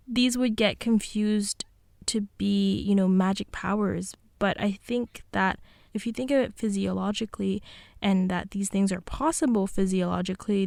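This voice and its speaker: A woman's voice: medium pitch, gravelly, darkish tone, no echo, clean studio sound. A woman co-hosting a podcast, explaining things in a conversational way. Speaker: podcast co-host.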